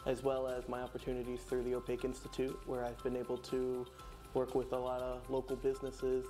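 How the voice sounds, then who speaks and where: A man's voice: medium pitch, monotone, a little fast, mellow, faint music behind. A graduating man speaking matter-of-factly in a testimonial interview. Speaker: graduating student.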